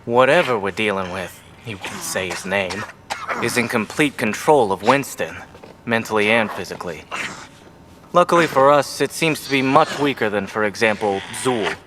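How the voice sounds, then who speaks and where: A man performs an analytical investigator in a video game. The voice is on the low side, calm and measured, with clear noise in the background. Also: close to an everyday voice. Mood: matter-of-fact. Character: calm, analytical male paranormal investigator giving a briefing. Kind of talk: video game cutscene acting.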